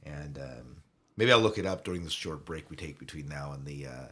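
Male podcast host, low register, casual and joking conversational news delivery. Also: lively intonation, brisk, sharp tone.

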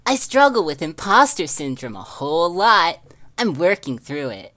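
A woman's voice: fairly low pitch, very animated, moderate pace, fairly bright tone, no echo, studio quality. A woman reading aloud and joking casually among friends as a co-host.

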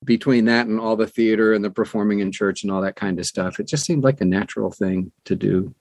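A man in his sixties chatting as an interview guest, telling personal stories in an animated way and acting out quoted dialogue. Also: on the low side, fairly quick, dry recording. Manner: animated storyteller who acts out quoted dialogue. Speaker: guest, audiobook narrator. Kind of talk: conversational personal storytelling.

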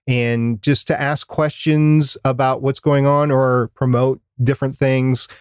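A man's voice: mid-range voice, a little mellow, no room sound, studio quality. A man co-hosting a podcast, giving practical advice in a casual, conversational way. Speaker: podcast co-host.

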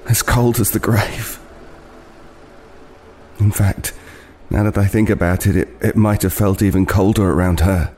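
A man's voice: on the low side, darkish tone, a little hiss or hum, close to an everyday voice. An actor playing a weary, wary homeless man, hesitantly telling an unsettling tale in an audio play. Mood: haunted, reflective. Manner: hesitant, halting, haunted, with repeated words. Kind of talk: dramatic first-person storytelling in an audio play.

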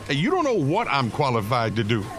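A man's voice: mid-range voice, huge pitch swings, a little fast, fairly bright tone, a little hiss or hum. An actor playing a proud, indignant homeless man in an audio drama, blunt and colloquial. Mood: bitter, impassioned. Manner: blunt, emphatic, colloquial, swearing. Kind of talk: full-cast audio drama acting.

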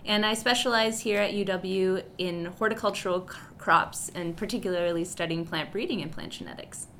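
A woman's voice: medium pitch, fairly even intonation, moderate pace, a bit bright, a little hiss or hum. Woman researcher from Wisconsin. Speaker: university plant genetics researcher. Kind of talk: radio interview.

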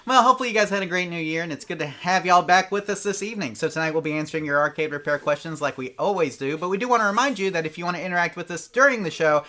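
Bright-voiced upbeat male podcast host. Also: on the high side, rapid-fire, hardly any pauses. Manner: upbeat, chatty, announcer-like opening. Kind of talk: casual live-show chat, reading chat messages.

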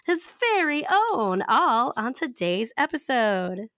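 A woman in her thirties co-hosting a podcast, raspy and a little hoarse from a cough, chatting casually and laughing often as she rambles through stories. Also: a little high, expressive, slow pace. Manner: giggly, rambling storyteller who laughs often. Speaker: podcast co-host. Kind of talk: casual chat.

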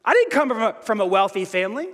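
A middle-aged man preaching a sermon as pastor, lively and self-mocking, often restarting his sentences. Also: high-pitched, quick pace, in a large hall.

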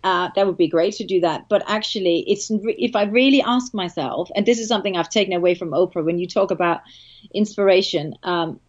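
A woman's voice: on the low side, fairly quick, highs cut off. Danish-accented woman, enthusiastic interview guest. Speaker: podcast guest. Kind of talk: casual interview chat.

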